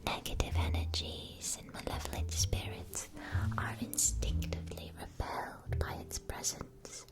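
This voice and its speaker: A woman playing a gentle, attentive magic-shop keeper in a scripted ASMR roleplay, whispering softly with careful articulation. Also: lightly acted. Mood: soothing, attentive. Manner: soft, slow, careful articulation. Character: gentle, attentive female shopkeeper of magical school supplies.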